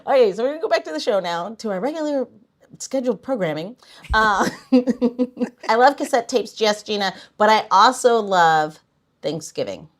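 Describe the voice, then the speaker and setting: A woman's voice: medium pitch, lively intonation, normal speed. A woman podcast host with a mid-range voice and an easy conversational delivery. She chats and jokes casually with her co-host, and has a habit of nudging the conversation back to the program. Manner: chatty, jokey, steers talk back on track.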